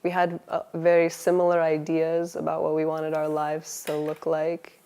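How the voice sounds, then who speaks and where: A woman's voice: sits low, completely flat delivery, normal speed, mellow, clean tone. A woman in her twenties, born in Finland, telling her love story in a casual interview, laughing often and restarting her sentences. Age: 20s.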